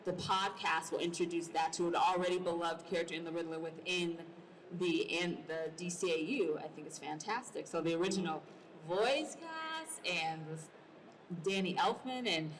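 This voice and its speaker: A woman with a fairly low voice moderates a convention panel. She sounds some way from the mic, with slight background noise around her. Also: normal speed. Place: large indoor convention panel. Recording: noisy convention hall, distant mic.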